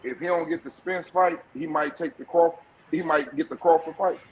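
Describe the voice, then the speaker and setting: A man arguing boxing as a guest on a podcast, loud by his own account, combative and profane. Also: fairly high pitch, steady tone, brisk, slight background noise, poor audio. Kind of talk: heated sports debate.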